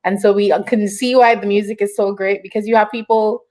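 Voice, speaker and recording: A woman's voice: medium pitch, steady tone, brisk, darkish tone. A woman hosting an online show, interviewing in a casual, conversational and encouraging way.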